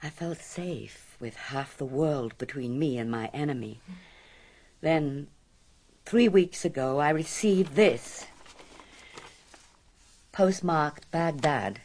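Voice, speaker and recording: An actress in a radio drama playing a frightened, haunted married woman who confides her past with dramatic, deliberate pauses. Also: deep voice, darkish tone, exaggerated voice. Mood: uneasy.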